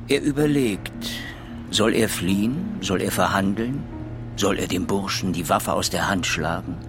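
An actor playing a probing, thoughtful police inspector in a radio crime drama, speaking deliberately and questioningly as he thinks aloud. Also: deep voice. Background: background music.

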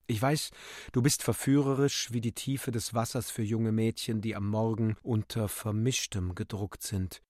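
A man giving a solemn, declamatory and deliberate dramatic recitation of literary text for a radio play. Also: fairly low pitch, steady tone, darkish tone, no room sound, clean studio sound. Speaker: narrator and reciter.

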